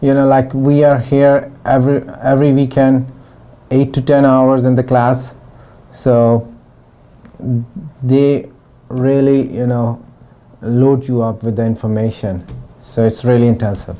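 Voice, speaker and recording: A middle-aged man from Pakistan giving a testimonial interview about his training, talking in a halting way with frequent restarts. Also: mid-range voice, little pitch movement, mellow. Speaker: former student giving a testimonial.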